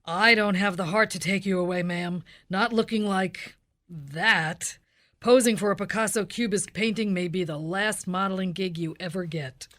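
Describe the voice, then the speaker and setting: A woman playing a deadpan, hard-boiled police detective in a radio cop-show parody, speaking in a clipped, officious way. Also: mid-range voice, moderate pace, lightly acted. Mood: stern, dry.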